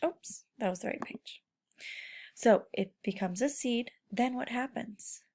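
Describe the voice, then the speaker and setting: A woman's voice: on the high side, a little slow, darkish tone, husky. A woman teacher giving an informal read-aloud lesson to children, prompting them with guiding questions. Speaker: schoolteacher.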